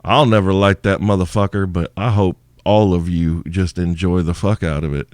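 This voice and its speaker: A man with a very low voice riffs through listener questions, crude and irreverent, rambling from one joke to the next. He sounds sleepy and worn out. The recording is dry and close, with no room sound. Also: steady tone, moderate pace, a little mellow, dry recording. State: sleepy, tired. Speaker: podcast host. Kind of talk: crude solo Q&A podcast.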